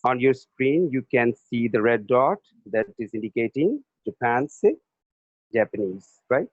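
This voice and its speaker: Male teacher, unhurried and repetitive, dry tinny phone-like audio. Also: medium pitch, expressive, no echo. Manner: repeats and spells out each answer. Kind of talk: slow remote class lesson, spelling words aloud.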